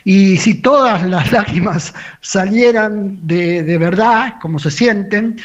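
A man's voice: high-pitched, takes it slowly. A man with an Argentine accent giving an unscripted radio report as a correspondent, hesitating and repeating phrases as he searches for words. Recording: dull, band-limited remote radio link.

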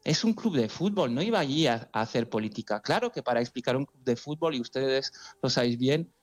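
A man speaking in an emotional interview, earnest and halting, often restarting his words. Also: mid-range voice, expressive.

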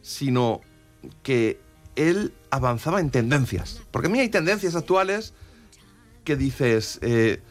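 A man with an accent from Spain in casual radio chat as a music commentator, telling stories with animated, emphatic repetition. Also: mid-range voice, takes it slowly.

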